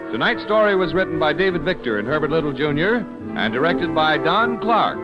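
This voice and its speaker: Lively vintage radio announcer man. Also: on the high side, a little fast. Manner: smooth, salesmanlike. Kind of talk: scripted announcing and ad reading. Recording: dull old radio broadcast with music.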